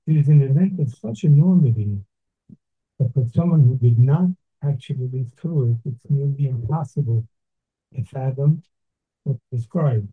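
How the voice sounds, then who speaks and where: An older man from Brooklyn, giving a slow, deliberate, reflective talk with pauses. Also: mid-range voice, slightly rough. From Brooklyn, New York. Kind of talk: measured reflective address. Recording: tinny phone-like sound.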